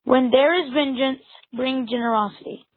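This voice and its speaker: Over a thin phone-like recording, a woman leads a prayer in a high-pitched but husky voice. She speaks in short, deliberate phrases and leaves space between them. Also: fairly even intonation, no room sound. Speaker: congregation member leading a responsive prayer. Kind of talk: spoken prayer with pauses for response. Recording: narrow phone-like sound, dry. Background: soft guitar music.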